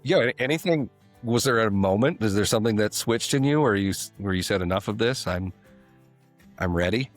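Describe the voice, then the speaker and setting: A man with a low-pitched voice hosts a podcast interview. He asks short, easygoing follow-up questions. Faint music plays behind him. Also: animated, a little fast. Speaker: podcast host.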